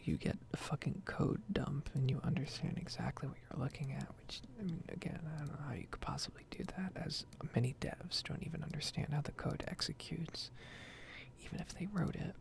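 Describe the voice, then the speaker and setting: A woman speaking in a soft, breathy whisper, rambling casually in an ASMR gameplay style, close to the mic with typing and clicking behind her. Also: deep voice, brisk. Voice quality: breathy, soft-spoken whisper with heavy vocal fry. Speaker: gaming streamer and ASMR creator.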